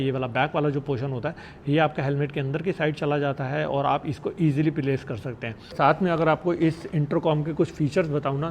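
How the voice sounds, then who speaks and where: A man's voice: on the high side, darkish tone, slight background noise. A man giving a casual product review as a vlogger, in a conversational way with English words mixed in. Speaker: motorcycle vlogger reviewing gear.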